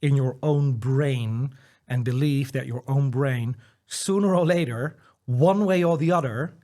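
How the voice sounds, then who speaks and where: A man's voice: medium pitch, unhurried, warm tone. A man whose first language is Dutch, a guest in an interview, talking earnestly and encouragingly with frequent restarts and repeated words. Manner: laughs lightly between points. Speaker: interview guest, dyslexia educator. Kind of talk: casual interview conversation.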